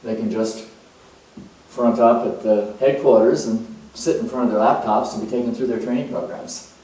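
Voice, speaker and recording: A man's voice: mid-range voice, fairly even intonation, moderate pace, dark tone, lightly acted. A man playing an eccentric, scatterbrained, idealistic nonconformist in a rambling, emphatic theatrical monologue. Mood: earnest, reflective. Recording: very echoey room, far from mic.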